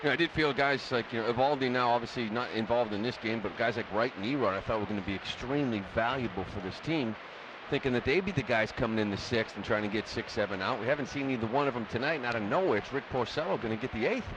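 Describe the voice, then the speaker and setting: A man's voice: mid-range voice, fast talker, hardly any pauses, noisy background. A man giving informal, opinionated baseball analysis in a conversational way on a live radio broadcast. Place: stadium broadcast booth. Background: ballpark ambience.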